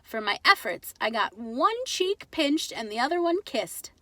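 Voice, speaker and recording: A woman reading a children's book aloud as an expressive storyteller. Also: a little high, measured pace, no echo.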